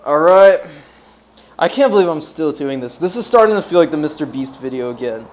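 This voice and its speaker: An American man with a slightly high-pitched voice hosts a casual livestream. He talks in a loose, laid-back stoner way, full of slang. Swearing comes freely as he chats. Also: animated, fairly quick, a little hiss or hum, poor audio. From United States. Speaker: livestream host.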